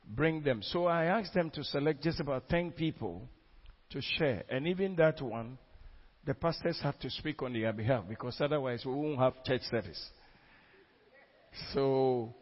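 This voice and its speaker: A man's voice: mid-range voice, normal speed. Fervent male preacher, lo-fi sound. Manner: fervent, exclamatory preaching with repetitions. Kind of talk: sermon testimony. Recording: dull lo-fi recording.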